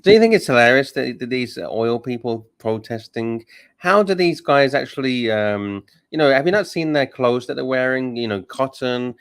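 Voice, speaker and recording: A man hosting a livestream, reading super chats aloud and chatting casually, animated and swearing. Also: medium pitch, normal speed, smooth, no echo, clean studio sound. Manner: animated, swears, mocks and laughs. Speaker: livestream host.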